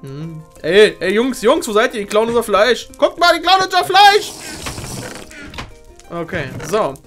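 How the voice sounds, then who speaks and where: A man's voice: high voice, sing-song, measured pace, a bit bright, soft background music. A man hosting a game show with a playful, joking, theatrical and exclamatory delivery.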